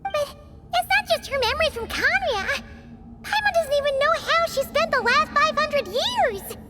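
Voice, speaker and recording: A woman voicing a small, excitable, naive childlike companion creature in a video game cutscene, with a squeaky voice. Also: unhurried. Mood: curious, eager. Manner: refers to self in third person, exclamatory. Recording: background music throughout.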